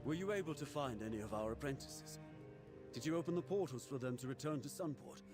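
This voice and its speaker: An actor playing an earnest, dutiful leader of a fantasy college, speaking formally in video game dialogue. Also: male voice, medium pitch, expressive, fast talker, close to an everyday voice. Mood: anxious, urgent. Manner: formal, declamatory. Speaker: quest-giver character in a fantasy video game. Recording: game dialogue under loud music.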